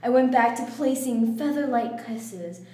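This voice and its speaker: Young woman, high voice and unhurried pace, reading romantic fiction aloud with barely held-in giggles. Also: dark tone. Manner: playful, often breaking into giggles. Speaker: reader in a water-spitting challenge. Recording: noisy, echoey room with clicks.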